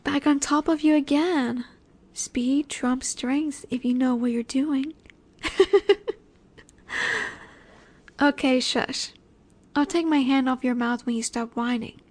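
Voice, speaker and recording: A woman acting as a cocky female werewolf alpha in a flirty audio roleplay. Her voice is high and she takes her time over each phrase. She taunts and teases, sure that she is in charge. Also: fairly even intonation, unhurried, a little mellow. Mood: smug, teasing. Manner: teasing, taunting, confident.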